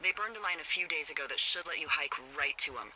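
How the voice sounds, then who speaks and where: An actress playing a wry, uneasy American fire lookout in a video game, speaking hesitantly and conversationally through a walkie-talkie filter. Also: female voice, sits low, animated, fast talker, pushed and bright, a little hiss or hum, full character voice, low-quality recording. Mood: helpful. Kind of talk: naturalistic video game voice acting.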